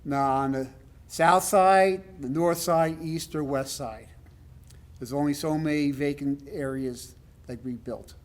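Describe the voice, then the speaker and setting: A man with a loud voice giving a rambling, emphatic public comment at a town meeting, with many fillers. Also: a little high, takes it slowly. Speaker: resident speaking at public comment.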